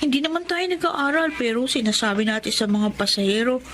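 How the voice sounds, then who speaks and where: A woman's voice: on the high side, fairly even intonation, fairly quick, background noise, natural voice. A woman acting a poor, protective and principled orphaned elder sister in a Filipino drama, speaking and narrating earnestly. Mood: serious, worried. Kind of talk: Filipino radio-style drama acting with first-person narration.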